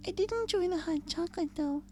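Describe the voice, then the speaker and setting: A woman voicing a shy, gentle young female pegasus pony in an audio story, softly and hesitantly. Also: very high-pitched, fairly quick, slight background noise. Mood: gently resigned. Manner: hesitant, timid. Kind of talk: audio fan-fiction character reading.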